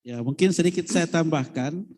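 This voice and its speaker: A man moderates a school session in a polite, formal way. His voice is fairly high but dark and mellow, and it carries a long reverb, like a big hall. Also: normal speed.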